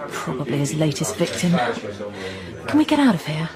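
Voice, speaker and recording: An actress playing a shrewd, insistent amateur sleuth in a radio drama, scornful and pressing in manner. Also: female voice, low-pitched, sing-song, normal speed, crowd noise. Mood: uneasy, wry. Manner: scornful, pressing, hushed at times.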